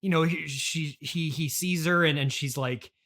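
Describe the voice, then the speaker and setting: A man's voice: a little high, fairly even intonation, normal speed, a bit bright. A man hosting a casual, improvised comic chat, talking in a hesitant, rambling, self-conscious and agreeable way. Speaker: podcast host.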